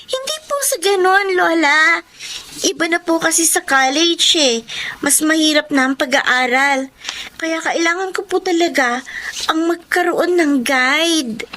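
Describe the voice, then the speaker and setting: A woman's voice: very high-pitched, measured pace, bright, forceful tone, heavily acted. A woman playing an earnest, respectful teenage granddaughter in a radio drama. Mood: earnest, reassuring. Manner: polite, deferential.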